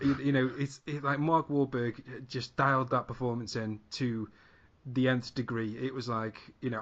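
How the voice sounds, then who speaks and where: A man's voice: medium pitch, fairly bright tone. Sweary man in a comic rant. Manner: sweary, exaggerated, comic ranting. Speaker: podcast co-host. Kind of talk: casual panel discussion. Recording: dull lo-fi sound.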